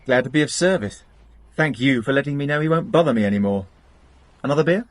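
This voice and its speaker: An actor playing a harassed, candid customs officer in a British radio drama, brisk and earnest. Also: male voice, mid-range voice, lively intonation, no echo, lightly acted. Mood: rueful.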